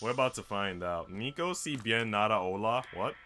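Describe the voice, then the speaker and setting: A man's voice: on the low side, lively intonation, moderate pace, sharp tone, faint music behind. A man live streaming in an informal, slangy way, moving between casual chat and reading aloud.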